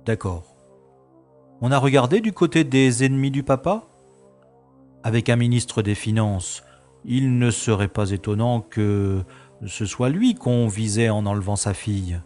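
An actor playing a brisk, self-assured and sarcastic special agent in a science-fiction audio drama. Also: male voice, mid-range voice, a little mellow, faint music behind, natural voice. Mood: inquisitive. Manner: sarcastic, commanding.

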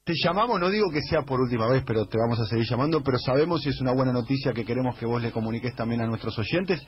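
A man's voice: mid-range voice, animated, very fast, husky. An Argentine-accented man presenting on radio, speaking conversationally to a guest. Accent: Argentine Spanish. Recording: dull radio clip.

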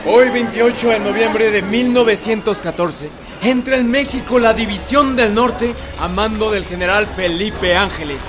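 An actor playing an eager 1910s newspaper reporter questioning generals in a radio drama. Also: male voice, high voice, unhurried, over music. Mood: eager, formal. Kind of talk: Spanish-language historical radio drama acting. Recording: street crowd bustle behind.

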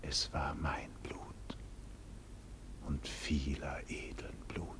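Doomed heroic nobleman, slow solemn declamation, husky, classical stage style, long cavernous reverb, vintage radio drama. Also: very deep voice, flat delivery, in a whisper, exaggerated voice. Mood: hushed, dreamy awe. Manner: solemn, slow, theatrical declamation with wide dynamic swings. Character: condemned heroic nobleman facing execution, proud, visionary, defiant. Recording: 1940s radio recording with long hall reverb.

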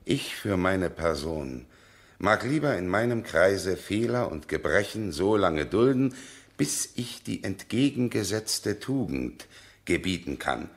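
An earnest moralizing go-between in a German radio drama. The voice is low, and the delivery is declamatory and admonishing, full of firm opinions. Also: male voice, sing-song, slow pace, full character voice. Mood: opinionated, insistent. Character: earnest, opinionated male mediator and moralizing family friend. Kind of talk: German radio play acting.

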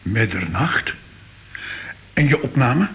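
Radio drama role: excitable, superstitious art expert, unhurried and full of wonder, very animated melody, slightly hissy old recording. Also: male voice, mid-range voice. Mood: baffled.

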